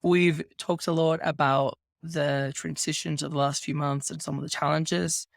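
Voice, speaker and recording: A man from Venezuela hosts a podcast interview, speaking in a slightly higher register with a gravelly, fry-heavy texture. His talk is thoughtful and measured, with careful phrasing. The close mic sounds very dry. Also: flat delivery, no room sound. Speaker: podcast host. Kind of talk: casual interview conversation.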